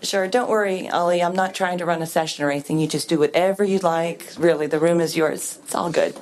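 Woman running a meeting, a bit low, loose and umming a lot. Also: steady tone, brisk, a little mellow. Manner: hesitant, lots of ums and restarts. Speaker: session moderator. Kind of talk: casual meeting chat.

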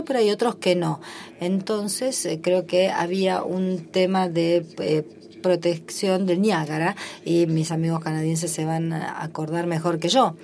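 A woman working as a simultaneous interpreter at a formal meeting, relaying each speaker in the first person and pausing often with filler sounds. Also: lowish voice, a little mellow, slight background noise.

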